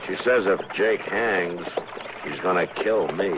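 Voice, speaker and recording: An actor playing a steady, world-weary frontier marshal in a radio western, with a dry, plain-spoken delivery. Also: male voice, low-pitched, a little slow, noisy background. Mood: firm, serious. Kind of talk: old-time radio western acting. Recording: dull, lo-fi vintage radio recording.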